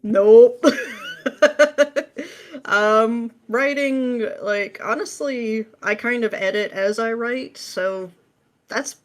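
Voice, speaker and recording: A woman's voice: on the high side, extremely slow, pauses often. A woman chatting casually as an interview guest, with a playful way of talking that slips into acted-out asides. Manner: playful, acts out imagined quotes. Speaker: podcast guest, script writer. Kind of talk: casual chat.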